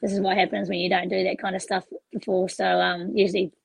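A hoarse woman answering interview questions in a relaxed, easygoing way. Her voice is gravelly and fairly flat in pitch, and the sound is dry and close. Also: quick pace, dry recording. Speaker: rugby player guest. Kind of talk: casual interview.

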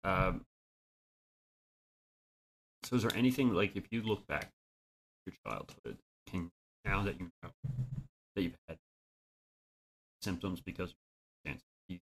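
A woman hosting a podcast interview who asks gentle questions and makes dry, sympathetic asides. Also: very deep voice, unhurried, pauses often, slight background noise, poor audio. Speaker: podcast host. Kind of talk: conversational interview.

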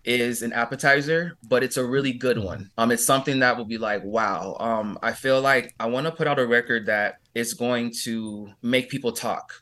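A man's voice: medium pitch, moderate pace, few pauses, bright, forceful tone. An American man, a pop singer from California, chatting informally and effusively as an interview guest. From California, USA. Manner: gushing, informal, with frequent "like" and "you know". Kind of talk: casual interview chat.

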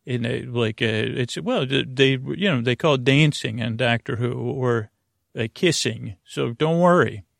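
A man hosting a sleep podcast in a meandering, halting bedtime monologue, full of restarts and whimsical, punning tangents, with a gravelly voice. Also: medium pitch, no echo, clean studio sound. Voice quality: creaky, gravelly. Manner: whimsical, punning, silly asides.

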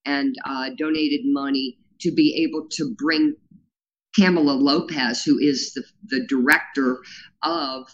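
A woman's voice: very deep voice, fairly even intonation, measured pace, dull audio, slight background noise. A woman being interviewed as a grassroots organizer, talking in an earnest, rambling way with many fillers and a powerful voice. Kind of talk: casual interview talk.

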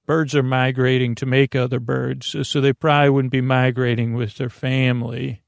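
A man with a creaky voice hosting a bedtime podcast, soothing and whimsical, meandering and halting as he interrupts himself. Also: mid-range voice, level tone, no echo, clean studio sound. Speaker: podcast host. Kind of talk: rambling bedtime monologue.

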